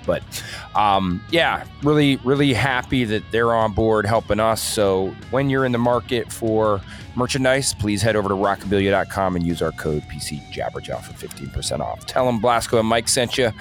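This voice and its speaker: A man with a fairly low voice chats casually as a podcast co-host. His delivery is laid-back and slangy, with guitar music playing behind him. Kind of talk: casual chat.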